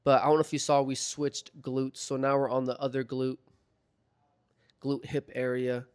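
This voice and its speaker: Young man, hoarse chatty voiceover. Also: mid-range voice, moderate pace. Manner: relaxed, chatty, filler-heavy. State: slightly hoarse from recovering from illness. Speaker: fitness vlogger. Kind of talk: casual instructional voiceover. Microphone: voiceover mic.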